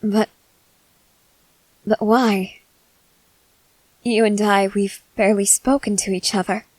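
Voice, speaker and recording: A woman playing a guarded young female soldier, blunt but softening, in halting roleplay ASMR. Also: mid-range voice, steady tone, dry recording. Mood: flustered, disbelieving. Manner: halting, hesitant, earnest delivery.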